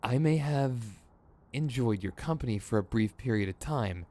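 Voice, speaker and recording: A man's voice: medium pitch, expressive, normal speed, a little mellow, natural voice. A man playing a theatrical, sardonic supervillain in a scripted ASMR roleplay, exasperated and smug, with mock menace and a grumbling, rambling streak. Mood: smug, coaxing.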